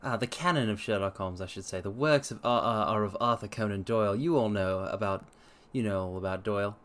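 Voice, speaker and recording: A man's voice: fairly low pitch, brisk, a bit bright. A man hosting a livestream and reading aloud in a theatrical manner, with formal, old-fashioned phrasing. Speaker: livestream host and story reader. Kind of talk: livestream chat and dramatic reading.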